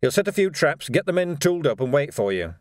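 A man voicing a quiet, unflinching watchman in a story, plain-spoken and challenging. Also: on the high side, sing-song, fast, dry recording, studio quality, voice pushed up. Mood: matter-of-fact. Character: quiet, unflinching young watchman, stubbornly questioning.

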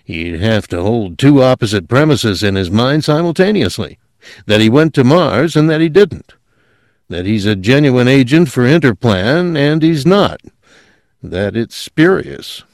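A man reading aloud acts a worried male technician in an audiobook. He reasons urgently and decisively, a little above the reader's natural pitch, in a dry recording. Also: very animated, unhurried, no room sound.

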